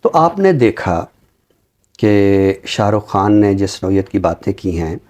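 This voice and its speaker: A middle-aged Pakistani man with a low, very soft-edged, mellow voice. He talks like a university teacher hosting a literary programme, conversational yet formal, choosing his words with care. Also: sing-song. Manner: formal, deliberate word choice. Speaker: talk show host, university teacher.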